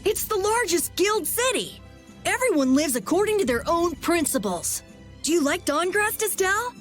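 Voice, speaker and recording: Very high-voiced excitable kid. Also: female voice, normal speed. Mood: eager admiration. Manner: eager, emphatic, childlike. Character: excitable, earnest young kid adventurer. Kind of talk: video game voice acting. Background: game music.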